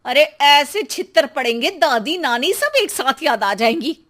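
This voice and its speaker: Woman doing an angry sarcastic mom from a cartoon, shrill high voice, always scolding. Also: big pitch swings, a little slow, fairly bright tone, full character voice. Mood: threatening. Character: exasperated, scolding young mother, quick-tempered and sarcastic. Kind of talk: Hindi cartoon story dialogue.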